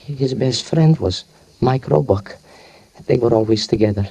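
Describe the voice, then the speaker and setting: A man's voice: mid-range voice, gravelly, mellow, breathy edge. An actor playing a shy, humble older male tailor who speaks hesitantly, in a 1940s radio drama. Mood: timid worried. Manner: hesitant, halting, apologetic. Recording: old radio broadcast recording.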